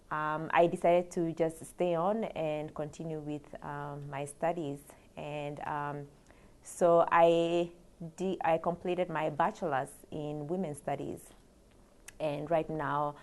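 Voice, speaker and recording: Soft-spoken young woman, gravelly. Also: deep voice, little pitch movement, slow. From Kenya. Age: young adult. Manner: soft-spoken, hesitant, many ums. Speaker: graduate student. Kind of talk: interview answers.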